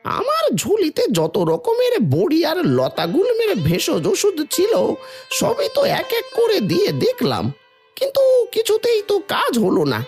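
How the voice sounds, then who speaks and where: A woman acts out a village medicine man from a cartoon folk tale. The voice is very high and swings widely in pitch, yet the manner is solemn and resigned, with faint music underneath. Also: huge pitch swings, moderate pace, darkish tone, full character voice. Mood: grave, resigned.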